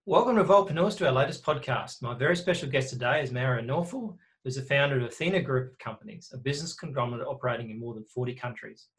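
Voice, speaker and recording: Fast-talking man hosting. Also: mid-range voice, fairly bright tone, rough recording. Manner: chatty, laughs often, restarts sentences. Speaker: podcast host. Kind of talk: conversational interview.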